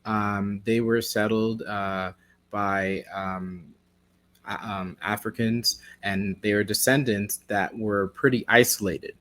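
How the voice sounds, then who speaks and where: A man's voice: lowish voice, fairly even intonation, slow pace, fairly bright tone. Hesitant young man as interview guest. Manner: hesitant, many fillers. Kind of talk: casual interview.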